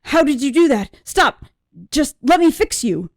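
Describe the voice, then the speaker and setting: A woman's voice: high voice, very animated, measured pace, no echo, clean studio sound, pitched above the performer's own voice, exaggerated voice. A woman voicing a panicked father, urgent and pleading, in an audiobook. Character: panicked father pleading with his android child. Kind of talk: audiobook character voice.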